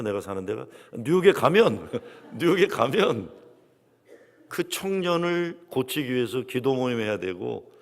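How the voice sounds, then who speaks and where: Guest pastor, male, gravelly voice, swinging delivery, long church-hall reverb. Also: medium pitch. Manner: lively storytelling, quoting congregants, dramatic drops to near whispers. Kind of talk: revival sermon with storytelling. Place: large church sanctuary.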